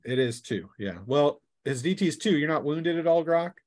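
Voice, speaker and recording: A man's voice: on the high side, expressive, normal speed, no echo, clean studio sound. Man running a casual tabletop game. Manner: playful, colloquial. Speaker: game master. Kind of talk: casual tabletop game narration with acted sound effects.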